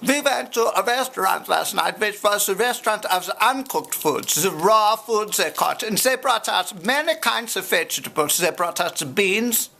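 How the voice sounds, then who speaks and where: Pompous German man with a gravelly, creaky voice and indignant, stiff English, as a woman puts him on for a comedy sketch. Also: medium pitch, lively intonation, normal speed, few pauses, bright, forceful tone. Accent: put-on German. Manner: indignant, haughty complaining in broken English.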